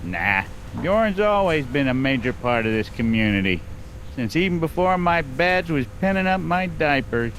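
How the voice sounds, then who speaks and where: Small-town sheriff, higher swooping voice, folksy, condescending, faint noise behind. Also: male voice, expressive, normal speed, fairly bright tone. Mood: defensive. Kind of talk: animated game voice acting.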